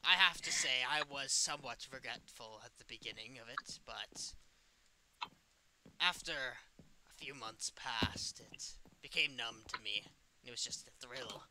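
A man's voice: mid-range voice, a little slow, lightly acted. A man voicing an evil, smug animatronic puppet in an improvised game roleplay, with a taunting, blasé manner. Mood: smug, menacing. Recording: noisy home mic with clicks.

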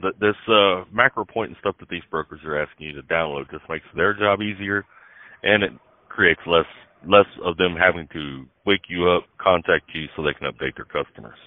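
Man, very low pitch, folksy, casual chat, interviewer, thin phone-call sound. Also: a little fast, no room sound. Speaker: podcast host interviewing a guest. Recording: dull, band-limited phone call recording.

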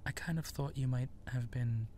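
A man playing an apologetic, self-doubting werewolf in human form in a roleplay audio drama, speaking softly and hesitantly. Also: medium pitch, normal speed, a little hiss or hum, lightly acted. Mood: hesitant, sheepish. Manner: hesitant, soft-spoken, sheepish.

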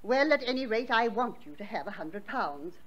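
Firm, animated elderly aunt. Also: female voice, a little high, normal speed, muffled recording. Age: older. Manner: businesslike, firm. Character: elderly, brisk, wealthy aunt, firm with servants. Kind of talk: old-time radio drama acting.

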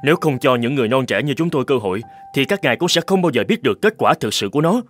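A man's voice: medium pitch, lively intonation, very fast, lightly acted. A man voicing an earnest, persuasive boss of a young company in a cartoon, speaking politely. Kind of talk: dubbed cartoon dialogue.

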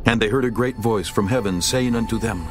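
A man narrating a dramatized scripture reading in a steady, measured way. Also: fairly low pitch. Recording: narration over background music.

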